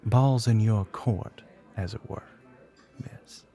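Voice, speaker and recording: A man playing a smug, flirty, middle-aged bartender with a Southern US accent in an intimate ASMR roleplay, smooth-talking and often dropping toward a whisper. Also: on the low side, slow pace, warm tone. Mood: confident, flirty. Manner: drawling, smooth-talking, pet names, often breathy near-whisper.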